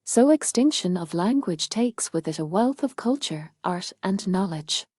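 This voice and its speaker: A woman's voice: fairly low pitch, lively intonation, a little slow, mellow, husky, no room sound, clean studio sound. A woman reading a scripted practice passage aloud for a language test lesson. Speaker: test-prep reader.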